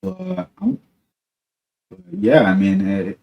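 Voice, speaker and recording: A man's voice: fairly low pitch, very animated, drawn-out words, gravelly, dull audio. A young man from New Jersey hosting a podcast, talking in a rambling, laid-back way with lots of "like" and "dude". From New Jersey, USA. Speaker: podcast host. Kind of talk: casual chat.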